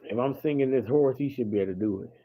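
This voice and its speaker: A hoarse man talking casually to the camera about a church song, repeating himself with enthusiasm. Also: medium pitch, fast, phone-quality sound.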